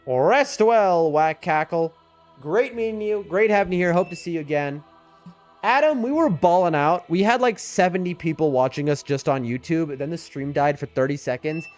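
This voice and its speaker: A man's voice: a little high, lively intonation, moderate pace. A man with a New York accent giving casual, rambling live game commentary and chatting to his viewers. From US East Coast. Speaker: game streamer. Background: music.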